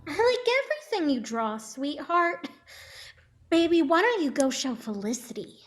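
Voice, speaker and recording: A woman's voice: sits high, big pitch swings, moderate pace. A woman playing a protective mother in a dramatic script reading. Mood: strained sweetness. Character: protective, stern mother, sweet to her child.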